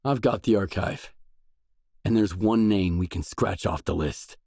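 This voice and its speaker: Determined male game protagonist, low husky voice, serious and understated, close dry recording. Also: a little slow, darkish tone, dry recording, heavily acted. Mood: matter-of-fact. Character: determined, brooding male stalker in a grim game. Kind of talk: game voice acting, isolated dialogue lines.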